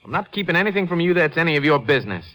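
An American man playing a testy, evasive deputy under questioning in a 1950s radio western, defensive and forceful. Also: a little high, very animated, very fast, low-quality recording. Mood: defensive, irritated. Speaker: supporting actor in a radio drama. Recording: vintage 1950s radio recording.

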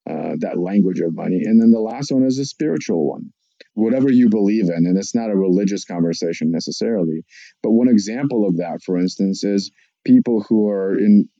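Male psychologist, low mellow voice, dull dry lo-fi sound. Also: no room sound. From Russia. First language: Russian. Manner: measured, explanatory, lists points. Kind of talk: podcast interview answer.

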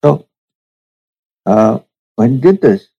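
A man speaks slowly and reflectively, as a spiritual teacher recounting his past. His voice is gravelly and husky, with a dark, mellow tone. He pauses often and lets each phrase settle. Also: medium pitch, animated, highs cut off. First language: Urdu. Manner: slow, measured storytelling with pauses. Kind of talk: reflective storytelling in an interview.

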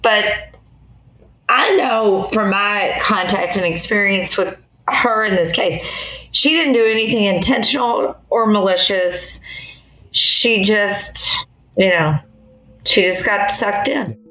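Slow-paced American woman, roomy sound. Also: medium pitch, sharp tone. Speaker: attorney being interviewed. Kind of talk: conversational interview answers.

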